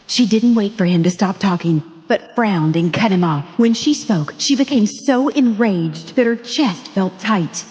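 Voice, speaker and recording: A woman's voice: mid-range voice, animated, measured pace, few pauses, a little mellow, in a large hall, dull audio. A woman narrating a dramatic story from a script.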